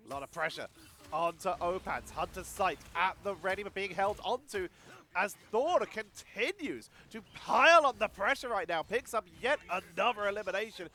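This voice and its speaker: A man's voice: high-pitched, lively intonation, moderate pace, sharp tone. A man with a cold-stuffed nasal voice giving solo play-by-play commentary on an esports match, chatty and thinking aloud between calls. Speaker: esports caster. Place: home setup.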